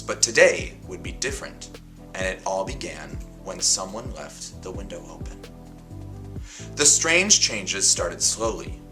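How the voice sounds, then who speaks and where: Deep-voiced man reading stories with animated expression. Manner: dramatic, deliberate phrasing with emphatic pauses. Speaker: host reading a picture book aloud and setting a writing challenge. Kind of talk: expressive storybook read-aloud for children.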